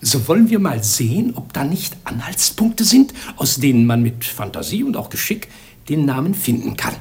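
An actor playing a sly, self-important clownish servant in a comic radio play, hushed and exaggerated. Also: male voice, medium pitch, very animated, normal speed. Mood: furtive, conspiratorial.

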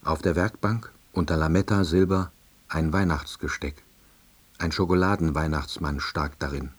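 A melancholy, jobless husband in a radio drama. His voice is very low and slightly husky, and he narrates in short, deadpan diary sentences full of dry self-pity. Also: normal speed. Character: lonely, melancholy, laid-off East German husband, drily self-pitying. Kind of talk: scripted first-person narration.

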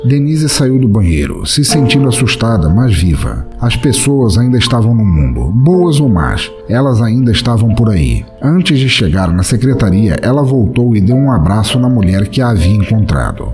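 Low-pitched Brazilian man narrating with wide intonation. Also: sing-song, normal speed, hardly any pauses, a little mellow, soft background music. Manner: literary, elaborate wording. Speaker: podcast host and narrator. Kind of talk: dramatic scripted narration.

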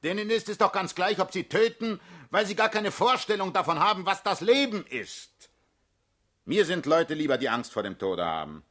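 A man's voice: high-pitched, very animated, moderate pace, fairly bright tone, exaggerated voice. An actor in a radio play, playing a middle-aged political leader who is fatherly, self-assured and mocking, with a persuasive, commanding manner. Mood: indignant. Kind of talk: German radio play acting.

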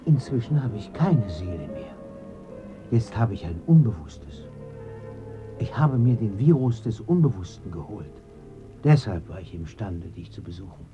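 A man's voice: on the low side, big pitch swings, unhurried, dark tone, lo-fi sound, soft background music. A man acting in a German radio play as an earnest, troubled friend seeking answers, insistent and dryly sardonic. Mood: dry, wry.

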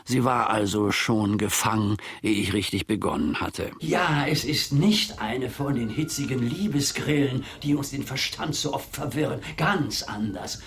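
A man's voice: mid-range voice, measured pace, few pauses, a bit bright, husky. An older man acting a grumbling old manservant in a radio play monologue, wry and digressive, with old-fashioned formal phrasing. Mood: coaxing, persuasive. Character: grumbling, long-serving old manservant settling scores with his master.

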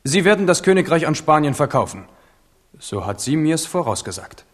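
Steadfast prince in a reverberant hall. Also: male voice, on the high side, very animated, moderate pace. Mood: grave. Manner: earnest, resolute, formal. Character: steadfast, proud royal heir, loyal but frank. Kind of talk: German radio play acting, declamatory.

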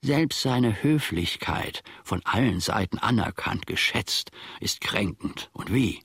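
An actor playing an aggrieved, self-important old manservant in a German radio play, grumbling and ruminating pompously in a gravelly, husky voice. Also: male voice, lowish voice, lively intonation, takes it slowly, a bit bright, no echo, clean studio sound, exaggerated voice. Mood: bitter, wounded.

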